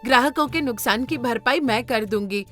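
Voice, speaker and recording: A woman's voice: on the high side, normal speed, a bit bright, faint music behind, lightly acted. A woman voicing a sorrowful, loyal wife who runs an inn, in a moral story. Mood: pleading. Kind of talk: dramatised Hindi moral story.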